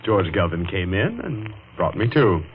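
An actor playing a forgiving, level-headed rival with a measured manner in a 1940s radio drama. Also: male voice, deep voice, huge pitch swings, exaggerated voice. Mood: calm, forgiving. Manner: measured, reasonable. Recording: dull, faintly noisy old radio recording.

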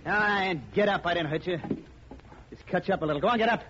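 An actor playing a brutish, ill-tempered racketeer in a 1950s radio crime drama, with gruff, bossy, slangy tough talk. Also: male voice, sits high, quick pace, close to an everyday voice. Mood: angry, threatening. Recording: old muffled radio recording, light hiss.

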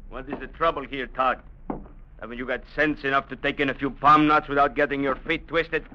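Casting: bullying, menacing captain for vintage radio adventure; forceful bark, sneering threats, dull old broadcast sound. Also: male voice, mid-range voice, steady tone, brisk. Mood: commanding. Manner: barks orders, sneering threats. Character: brutal, bullying trading-post captain, menacing and arrogant. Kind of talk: 1950s radio drama acting.

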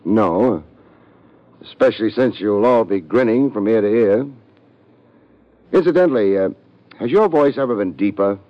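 A man voicing a hard-boiled private eye who narrates with dry wisecracks, his low voice rising and falling in lively swings. This is a muffled vintage radio drama recording. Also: moderate pace, pauses often, no room sound, close to an everyday voice. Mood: wry. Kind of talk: 1940s radio drama acting.

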